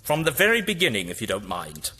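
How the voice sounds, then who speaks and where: An actor playing a wary, inquisitive time-travelling Doctor with dry irony and a halting, musing manner in an audio drama. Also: male voice, lowish voice, wildly swooping pitch, pushed and bright, breathy edge, exaggerated voice. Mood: earnest. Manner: halting, musing, with wry asides.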